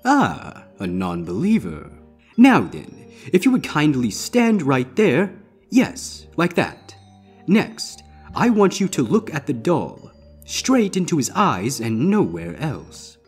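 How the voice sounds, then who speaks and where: An ominous male stage magician, acted out by a man for a fan fiction story. The voice is husky and higher than average, swinging dramatically in pitch with deliberate, showy patter. Faint music plays underneath. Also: huge pitch swings, exaggerated voice. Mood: coaxing. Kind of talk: character voice in audiobook-style fan fiction reading.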